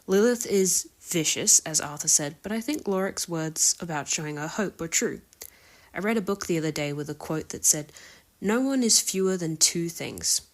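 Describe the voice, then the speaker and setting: A woman's voice: on the low side, animated, moderate pace. A woman recording a listener submission, giving prepared commentary for a podcast.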